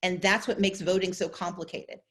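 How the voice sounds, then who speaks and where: A woman's voice: fairly low pitch, fairly even intonation, a little fast, a bit bright. Fluent woman explaining on a panel. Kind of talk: panel discussion answer. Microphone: video call.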